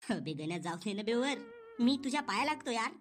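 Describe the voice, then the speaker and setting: A man's voice: very high voice, very animated, fairly quick, crowd noise. A man with a rural Maharashtrian accent voicing a hapless, scheming bald son-in-law in a comedy cartoon, stammering and wheedling. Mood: exasperated.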